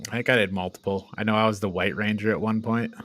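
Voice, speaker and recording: A man's voice: on the low side, steady tone, fast, fairly bright tone, a little hiss or hum. A man hosting a casual review chat, talking informally with his co-hosts. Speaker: host of a film ranking show.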